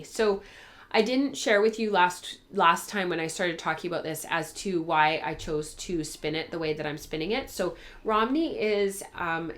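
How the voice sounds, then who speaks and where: Woman, lowish pitch, casual vlog chat, informal, rambling, thinking aloud, voice tired from a cold. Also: fairly bright tone. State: getting over a cold, voice tiring. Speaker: host of a spinning and fibre craft show.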